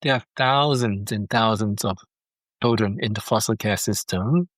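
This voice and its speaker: Foster dad guest from Singapore, middle-aged, earnest, repeats himself, lower voice, dry call audio. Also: male voice, moderate pace, dry recording. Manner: earnest, repeats words while thinking. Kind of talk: heartfelt interview over a call. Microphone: video call.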